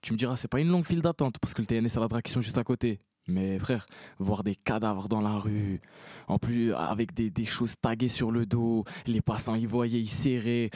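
A man's voice: lowish voice, a little mellow, no room sound, studio quality. A young man telling an anecdote in vivid, colloquial, slang-filled speech. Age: young adult. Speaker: participant in a theatre occupation.

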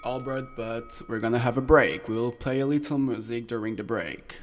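A teenage boy hosting a school radio quiz, reading questions in brisk bursts. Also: medium pitch, background noise. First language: French. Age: teen. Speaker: quiz host. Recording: reverberant room with music behind.

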